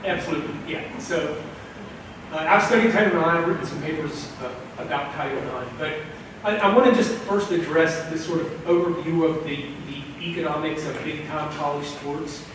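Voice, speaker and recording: A man, an economics professor, explaining research on a panel in a measured lecturing way. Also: on the high side. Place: large echoey event hall. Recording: echoey hall from far off, noisy.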